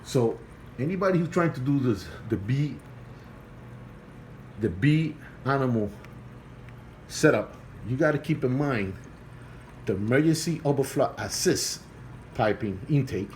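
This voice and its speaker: A man with a non-native English accent, talking casually at home in a halting, repetitive way while filming an aquarium on his phone. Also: mid-range voice, lively intonation, a little mellow, slight background noise, rough recording. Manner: halting and repetitive, restarts phrases, earnest and pleading. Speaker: hobbyist vlogger. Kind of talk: casual home video walkthrough. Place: home, beside an aquarium indoors. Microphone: phone held by the speaker while filming.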